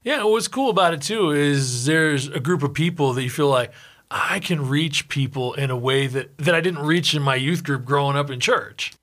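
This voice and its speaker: A male radio host with a bright, forceful voice pitched a bit high. He introduces and questions his guest briskly and cheerfully. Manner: upbeat, brisk interviewer. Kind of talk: radio interview.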